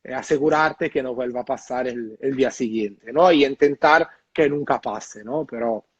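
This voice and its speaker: Italian-accented man, halting and relaxed. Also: medium pitch, breathy edge, no room sound. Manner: hesitant, many fillers and tag questions. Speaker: bartender guest. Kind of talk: casual interview over video call. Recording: muffled dry lo-fi audio.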